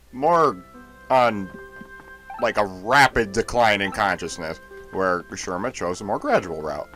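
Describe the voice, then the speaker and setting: A man's voice: fairly low pitch, fairly bright tone. A man giving casual, joking commentary over a video game as a co-commentator. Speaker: let's play co-commentator.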